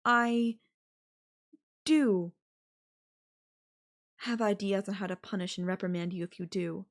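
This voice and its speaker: Slow-talking, possessive yandere girlfriend played by a woman. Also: mid-range voice. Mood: sweetly threatening. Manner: slow, coaxing, affectionate talk with veiled threats. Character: possessive yandere girlfriend, sweetly menacing captor, adult woman. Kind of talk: intimate audio roleplay addressed to the listener.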